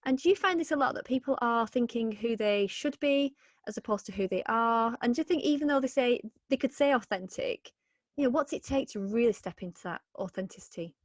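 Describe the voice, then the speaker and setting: A woman's voice: fairly high pitch, brisk, no echo. Woman podcast host, eager and chatty, close dry mic. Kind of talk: casual interview chat.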